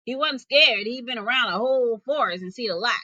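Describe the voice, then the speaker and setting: Female teacher-storyteller, bright, slightly high voice, expressive folk-tale reading, dry sound. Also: brisk, no echo, highs cut off. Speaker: teacher reading stories aloud.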